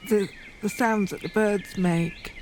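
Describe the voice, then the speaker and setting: A woman reflecting aloud in a deliberate, unscripted way, searching carefully for her words. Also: mid-range voice, steady tone, darkish tone. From northern England. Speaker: poet, documentary subject. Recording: outdoor field recording with environmental noise. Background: birdsong and outdoor ambience.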